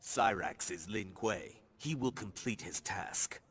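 An actor playing a rigid ninja clan enforcer in a video game, clipped, stern and authoritarian. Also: male voice, lowish voice, lively intonation, takes it slowly. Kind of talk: video game drama acting.

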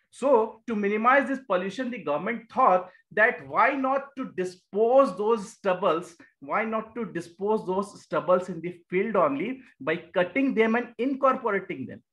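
A man's voice: high voice, a bit bright, poor audio. A man with an Indian English accent giving a recorded lecture over slides, explaining step by step, repeating key terms and answering his own questions. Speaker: exam coaching teacher. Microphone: voice-over on annotated slides.

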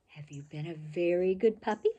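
A woman's voice: on the low side, normal speed, mellow, muffled recording, lightly acted. A woman doing a coaxing mother dog. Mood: questioning. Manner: coaxing, questioning.

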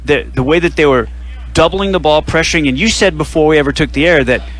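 A man hosting a radio postgame broadcast, running through final stats and sponsor reads in a measured way. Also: on the high side, a bit bright, soft background music, poor audio. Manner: stat-heavy, measured delivery with sponsor reads. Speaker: radio play-by-play announcer.

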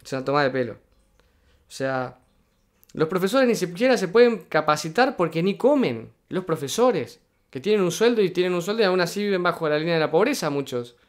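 Indignant high-voiced Argentine man. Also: expressive, brisk. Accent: Argentine Spanish. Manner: indignant, emphatic, repeating points. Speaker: political commentator. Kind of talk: reaction video commentary.

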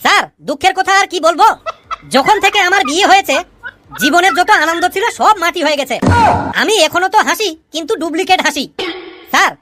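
A man playing an argumentative, know-it-all cartoon schoolboy with a pitched-up voice. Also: fairly quick, very noisy. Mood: mock lament. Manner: argumentative, preachy. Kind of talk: comic cartoon dialogue.

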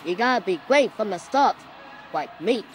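A man's voice: high-pitched, lively intonation, normal speed, very noisy. A cloned voice doing a cocky cartoon show-off boy. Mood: boasting. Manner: boastful, cocky.

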